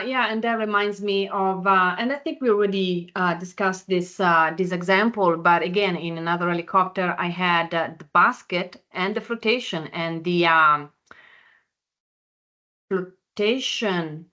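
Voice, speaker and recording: A woman hosting a podcast in a conversational, agreeable way, speaking haltingly as she puts her thoughts together. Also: on the low side, bright, forceful tone. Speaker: podcast host.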